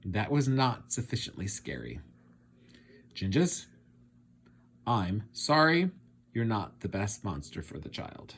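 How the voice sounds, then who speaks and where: A man's voice: fairly low pitch, big pitch swings, slow pace, pauses often, a bit bright, slight background noise, full character voice. A man voicing a strict, fussy monster teacher from a children's storybook, scolding and instructing with emphasis. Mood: brisk, teacherly. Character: strict, fussy male monster teacher, long-necked and yellow.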